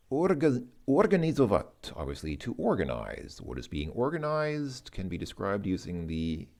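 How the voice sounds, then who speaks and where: A man talking through language lesson exercises informally, thinking aloud in a hesitant, halting, dry way. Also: lowish voice, darkish tone.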